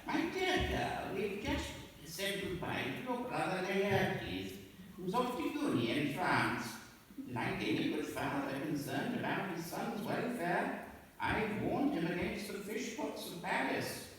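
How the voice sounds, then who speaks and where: A man's voice: high voice, big pitch swings, a little slow, a bit bright, distant mic, full character voice. A man playing a pompous, long-winded old father in an amateur comic radio play, recorded in an echoey hall from afar. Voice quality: pompous, rambling.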